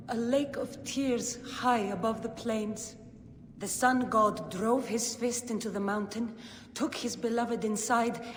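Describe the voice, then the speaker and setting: An actress playing a mystical oracle, intoning prophecy in a solemn, portentous way for a video game. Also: female voice, a little high. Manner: incantatory, portentous. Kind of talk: video game cutscene acting. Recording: long echoing reverb, background ambience noise.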